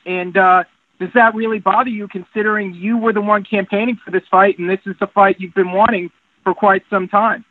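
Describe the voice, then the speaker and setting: Male reporter, high register, prepared interview questions, narrow telephone sound with little room. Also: fairly even intonation, a little fast, no room sound. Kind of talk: prepared press questions on a conference call. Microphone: phone. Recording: tinny narrowband phone line.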